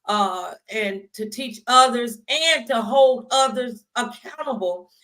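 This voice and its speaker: A woman with a slightly high voice hosts a conversational faith show. She talks in a folksy, preacherly way, like someone used to encouraging a congregation. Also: lively intonation, takes it slowly, a bit bright, low-quality recording. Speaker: talk show host.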